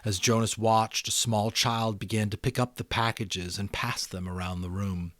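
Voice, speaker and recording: A man reading a novel aloud as dramatic narration, with expressive, deliberate phrasing and pauses. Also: fairly low pitch, no room sound. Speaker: amateur audiobook narrator.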